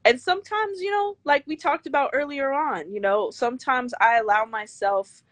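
An older woman with a high, girlish voice, speaking bluntly and emphatically as an interview guest, swearing freely. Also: normal speed, fairly bright tone, no room sound. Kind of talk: candid interview talk.

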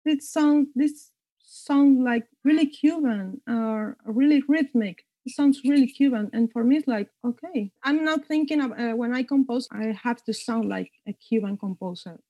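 A woman composer from Cuba answering interview questions thoughtfully, pausing often and searching for words. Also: fairly high pitch, mellow, no echo. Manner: halting, searching for words, frequent hesitations. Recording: dull lo-fi dry sound.

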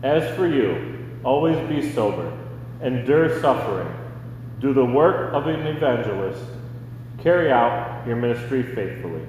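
Male American lay reader, measured and formal, medium pitch, distant in a heavily reverberant church. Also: animated, a little mellow. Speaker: scripture reader. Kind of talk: scripture reading in church. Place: large indoor church. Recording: very echoey, distant, noisy hall.

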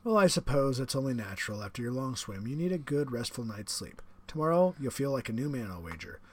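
A man voicing a suave, menacing aristocratic hunter in a read-aloud story, with genteel, relishing emphasis and a husky voice. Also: medium pitch, sing-song, brisk. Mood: genteel menace.

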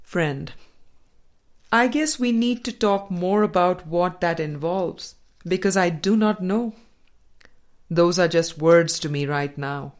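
A woman reading a spiritual dialogue book aloud as an audiobook, in a measured, deliberate manner with pauses. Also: lowish voice. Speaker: audiobook reader.

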